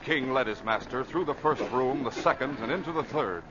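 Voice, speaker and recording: A man's voice: medium pitch, fairly quick, natural voice. A man narrating an adventure serial in a dramatic, scripted old-time radio style. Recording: noisy, muffled old radio recording.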